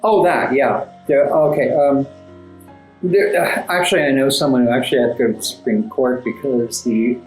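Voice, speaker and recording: An articulate man with a mid-pitched voice talks as an interview guest, choosing formal words and pausing often as he goes. The room adds clear echo, and classical music plays underneath. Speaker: guest playwright. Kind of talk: conversational interview answers. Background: classical background music.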